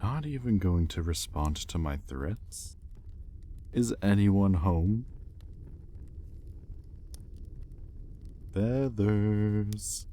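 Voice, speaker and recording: A man playing an imperious, sardonic demon in an intimate audio roleplay, with a deliberate, menacing drawl and easily exasperated temper. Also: on the low side, animated, mellow, a little hiss or hum. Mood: irritated, impatient.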